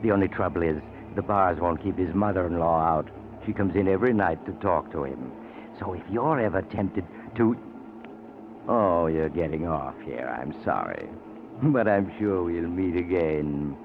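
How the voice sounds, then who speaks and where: A genially sinister male storyteller from vintage radio horror. His very deep voice is wry and ominous, coming through a narrow, noisy old broadcast recording. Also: expressive. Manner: wry, ominous, drawn-out phrasing. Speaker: storyteller host. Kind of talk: 1940s radio horror narration.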